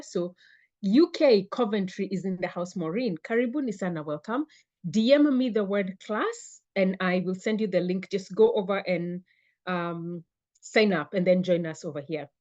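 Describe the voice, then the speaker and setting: A woman hosts a live online class, upbeat and chatty as she welcomes viewers by name. Her voice sits at a middling pitch and comes through a computer mic on a video call. The audio is a little dull. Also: a little mellow. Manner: upbeat, greets viewers by name, repeats phrases. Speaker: webinar host and fitness coach.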